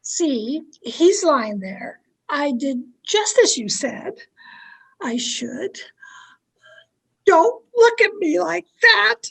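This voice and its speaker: A very high, tremulous voice of a fearful, submissive wife in a radio horror play, played by a woman. She speaks haltingly and melodramatically, with sweeping pitch. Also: sing-song, creaky, a bit bright, heavily acted, low-quality recording. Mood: terrified. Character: frightened, obedient wife driven to murder. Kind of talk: old-time radio horror acting.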